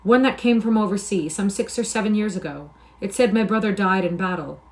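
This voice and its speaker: A woman reading the part of a guarded young nobleman who speaks in a mild, soft tone with old-fashioned phrasing. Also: mid-range voice, brisk, natural voice, poor audio.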